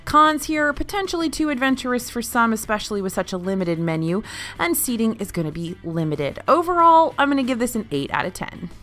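A woman narrating a chatty food review, wry and opinionated. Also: fairly quick. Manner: animated, wry and opinionated, jumps between high and low pitch. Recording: faint music behind.

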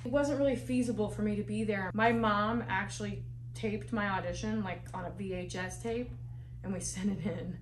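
A woman's voice: medium pitch, moderate pace, slight background noise. Frank, salty woman salon owner. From Las Vegas, United States. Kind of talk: candid personal storytelling. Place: her salon.

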